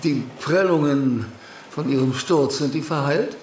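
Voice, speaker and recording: An actor playing a shy, awkward, kindly handyman in a radio drama, with a halting, reserved manner. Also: a little high, darkish tone, lightly acted. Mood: shy, caring. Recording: roomy sound, faint ambient noise.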